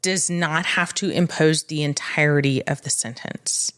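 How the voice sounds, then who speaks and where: A woman's voice: deep voice, fairly even intonation, measured pace, fairly bright tone. An American woman talking casually as a co-host and legal expert, explaining matter-of-factly step by step with examples.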